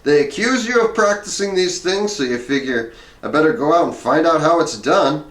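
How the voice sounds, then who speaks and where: A man's voice: fairly high pitch, expressive, moderate pace, a bit bright, voice pushed up. Man acting a rambling bohemian guru, roomy echo. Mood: rambling, matter-of-fact. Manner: run-on, scattershot monologue. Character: rambling, drug-worn bohemian older man, improv guru. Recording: roomy, echoing room sound.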